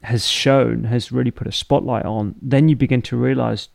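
A man hosting a podcast who asks thoughtful, halting questions with frequent restarts. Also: mid-range voice, fairly even intonation, vocal fry, no echo, clean studio sound. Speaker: podcast host. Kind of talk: conversational interview.

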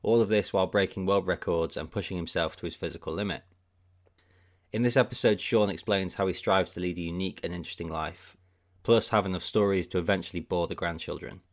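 A man hosting a podcast, reading a scripted introduction in a measured, even way. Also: deep voice. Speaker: podcast host.

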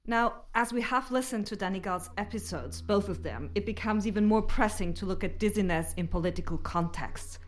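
A woman hosting a podcast with measured, articulate, scripted narration. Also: mid-range voice. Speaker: podcast host.

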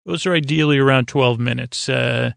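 A man with a gravelly, creaky voice rambling as host of a sleep podcast, halting and stumbling over words as the thought wanders. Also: medium pitch, no echo, studio quality.

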